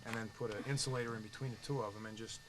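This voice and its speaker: A man's voice: fairly low pitch, very fast. A man casually showing off his radio amplifier and explaining step by step how it works. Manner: relaxed, explains how things work step by step. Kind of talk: casual technical chat. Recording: noisy background.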